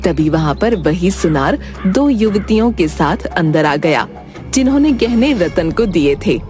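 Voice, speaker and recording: A woman's voice: fairly low pitch, expressive, unhurried, a little mellow, faint music behind. A woman narrator reading a scripted story in storytelling style.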